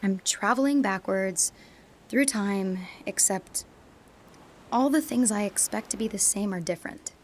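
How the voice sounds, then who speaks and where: A woman's voice: mid-range voice, unhurried, a little hiss or hum, natural voice. A woman playing a wistful young adult back home from university, speaking in a reflective, confessional radio drama monologue. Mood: troubled, tender.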